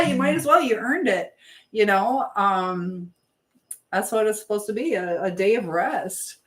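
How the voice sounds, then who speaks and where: A woman's voice: on the high side, fairly bright tone. A woman hosting a casual live chat, rambling and trailing off as she answers viewers while busy with something else. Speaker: livestream host.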